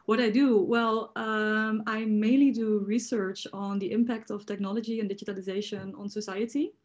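A young woman designer talking from her living room on a video call, soft-spoken and thoughtful. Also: medium pitch, level tone, normal speed. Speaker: designer, panelist. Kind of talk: casual panel talk.

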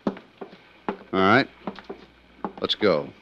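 An American actor playing an earnest, thoughtful police inspector in a 1950s radio drama, musing and emphatic. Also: male voice, low-pitched, animated, extremely slow, muffled recording, over music, exaggerated voice. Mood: concerned. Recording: noisy 1950s radio recording.

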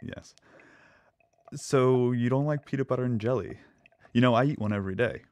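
An actor playing a nervous, devoted lab assistant in an audio drama, speaking earnestly and with hesitant stammers. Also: male voice, mid-range voice, moderate pace, a little mellow, dry recording, lightly acted. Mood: awkward, earnest.

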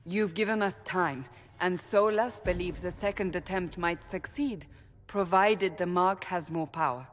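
A woman's voice: lowish voice, unhurried, in a large hall, close to an everyday voice. An actress playing a stern female warrior leader who speaks in a firm, clipped, matter-of-fact way.

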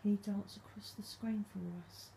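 A woman vlogging at night from her dark bedroom, speaking softly and calling out to spirits, her voice a little stuffed up from hay fever. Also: medium pitch, completely flat delivery, normal speed, a little hiss or hum, rough recording. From England. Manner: hushed, soft-spoken, calling out to spirits. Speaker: paranormal vlogger. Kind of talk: quiet late-night ghost hunting vlog.

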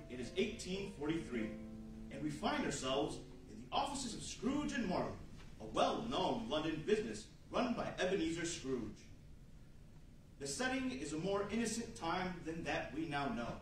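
Man playing high, animated 1940s radio announcer. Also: moderate pace, faint music behind. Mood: theatrical, wry. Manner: theatrical, wry. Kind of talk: 1940s radio narration on stage. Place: large indoor meeting room with seated audience. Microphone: far camera. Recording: very echoey room from far off, faint noise.

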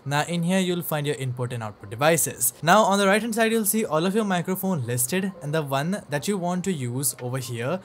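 A man giving a scripted tutorial narration as a software reviewer. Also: a little high, expressive, fairly quick, hardly any pauses.

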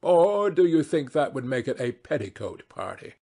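A man's voice: fairly high pitch, big pitch swings, normal speed, vocal fry, dark tone, slightly rough, full character voice. A man voicing a witty, cynical English aristocrat in a novel reading, languid and self-amused, speaking in epigrams. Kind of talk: dramatised classic novel reading.

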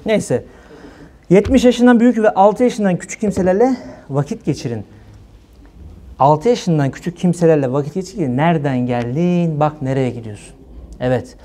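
A man gives an informal religious sermon in a colloquial, animated way, asking rhetorical questions. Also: a little high, normal speed. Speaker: preacher. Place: small room indoors.

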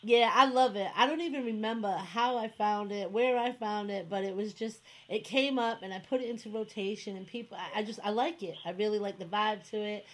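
A woman's voice: medium pitch, fairly quick, hardly any pauses. A woman hosting an online radio show who talks in a chatty, rambling way, full of fillers like "you know what I mean". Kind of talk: casual interview chat.

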